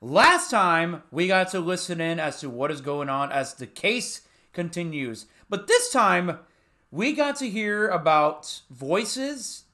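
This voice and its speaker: A man's voice: high-pitched, sing-song, slow pace, pushed and bright. A man hosting a reaction video with casual fan commentary.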